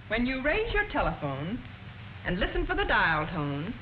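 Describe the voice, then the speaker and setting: A woman's voice: fairly high pitch, wildly swooping pitch, moderate pace. Muffled old 40s film lady as a prim patient phone instructor, formal and didactic, bit of hiss. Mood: patient, instructive. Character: prim patient 1940s telephone instructor woman. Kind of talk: 1940s educational film narration. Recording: muffled, noisy 1940s film soundtrack.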